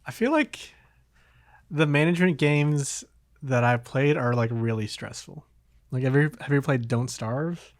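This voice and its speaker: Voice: mid-range voice, measured pace, no room sound, clean studio sound. A podcast host chatting casually about video games in an informal, slightly hesitant way.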